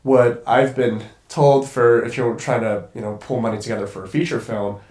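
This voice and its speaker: A man's voice: mid-range voice, steady tone, normal speed, a little mellow. A young man with a congested voice talks casually as a guest, rambling, restarting his sentences and swearing freely. The sound carries a roomy echo around him. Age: young adult. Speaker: guest cinematographer. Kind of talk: casual podcast conversation.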